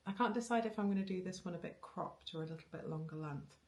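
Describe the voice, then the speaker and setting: A woman's voice: lowish voice, fast, darkish tone. A woman in her thirties chatting casually to camera about knitting, rambling with ums, restarts and little laughs, recorded in her living room. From Wales. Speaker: knitting vlogger. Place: living room with bookshelves, indoors. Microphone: camera on a tripod facing her.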